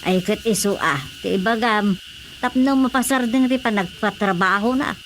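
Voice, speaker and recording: A woman's voice: mid-range voice, normal speed, slight background noise, close to an everyday voice. A woman playing a down-to-earth, questioning village woman with an Ilocano accent in a radio comedy drama. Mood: matter-of-fact.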